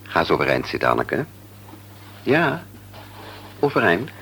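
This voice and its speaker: An actor playing a calm, kindly family doctor in a Dutch radio play, with a reassuring, businesslike bedside manner. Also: male voice, deep voice, unhurried, creaky, heavily acted. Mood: kindly coaxing. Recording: noisy old radio play recording.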